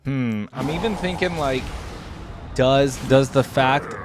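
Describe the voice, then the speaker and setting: A man's voice: medium pitch, slow, music in the background. A man giving casual, informal reaction commentary as a video host, thinking aloud and at times reading out.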